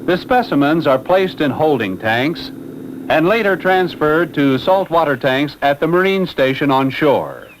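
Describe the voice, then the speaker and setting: A man narrating a scripted 1960s educational film in a formal, measured, expository manner. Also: a little high, sing-song. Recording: noisy old 1960s film soundtrack.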